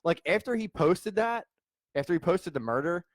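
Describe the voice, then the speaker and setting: A man co-hosting a podcast, casually retelling what he watched in an animated way. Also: sits high, moderate pace, no echo. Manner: animated, interjecting, storytelling. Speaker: podcast co-host. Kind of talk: casual chat. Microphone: studio mic.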